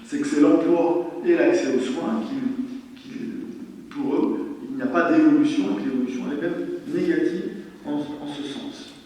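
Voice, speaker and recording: Guy walking thru slides at a meeting, mellow voice, rephrases a lot, really echoey and distant. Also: a little high, moderate pace. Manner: hesitant, repeats and rephrases as he explains. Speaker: presenter of survey results at a council meeting. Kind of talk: explanatory slide presentation. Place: large reverberant meeting hall. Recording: very echoey hall, distant mic, noisy.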